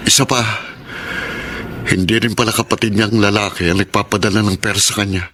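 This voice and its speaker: A man's voice: on the low side, fairly even intonation, fairly quick, pushed and bright, slight background noise. An actor playing a dutiful, troubled young Filipino father, polite and deferential, in a radio drama. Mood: dejected.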